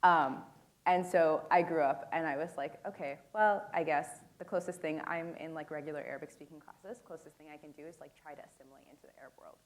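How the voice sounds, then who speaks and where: Young woman, low voice, panel moderator, casual talk full of fillers, handheld mic. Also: fairly even intonation, a little fast. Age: young adult. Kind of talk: panel discussion. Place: conference room. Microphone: handheld microphone.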